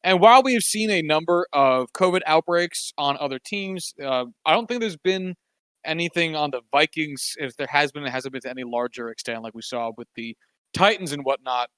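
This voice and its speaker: A man's voice: a little high, expressive, moderate pace, a bit bright, clear, resonant tone, dry recording. A man co-hosting a casual sports podcast, talking in a wry, sarcastic, rambling way with plenty of fillers. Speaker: podcast co-host.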